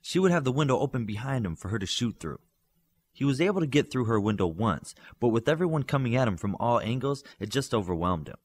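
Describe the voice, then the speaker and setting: A man's voice: mid-range voice, animated, quick pace, slightly rough. A man reading a scripted fiction story aloud as an audiobook narrator, acting out the dialogue as he goes.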